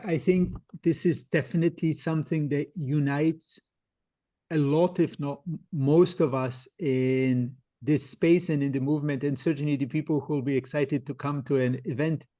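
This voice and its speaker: A mellow, warm voice hosts an interview, answering the guest with reflective thoughts before moving on to the next question. The voice sits at a medium pitch, and the sound is close and dry. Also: no echo. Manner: affirming, reflective, steers the talk. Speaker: host, interviewer. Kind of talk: casual interview conversation.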